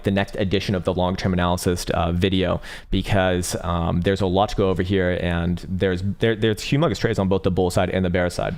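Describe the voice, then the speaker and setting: A tired man with a raspy voice hosting a casual livestream, rambling and profane, with lots of fillers while he reads viewer chat aloud. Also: sits low, darkish tone. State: tired, short on sleep. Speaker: crypto livestream host. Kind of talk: casual livestream chart analysis.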